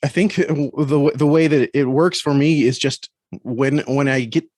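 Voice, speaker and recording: A man talking casually as an interview guest, earnest and reflective, with halting phrasing. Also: a little high, fairly even intonation, darkish tone, no echo, studio quality. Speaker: podcast guest and story critic.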